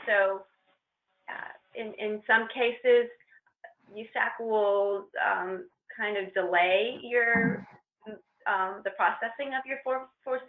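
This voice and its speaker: A woman presenting on a training call, walking informally through a procedure step by step in a halting, hesitant way. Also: mid-range voice. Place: conference call with shared screen. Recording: roomy, muffled conference-call line.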